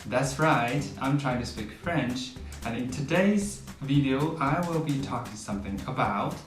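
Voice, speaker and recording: A man hosts his vlog and asks his guest questions in a friendly, upbeat way. His voice sits at an ordinary pitch, picked up from some distance in an echoey room, with soft guitar music behind. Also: expressive, unhurried. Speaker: vlog host. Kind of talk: casual interview.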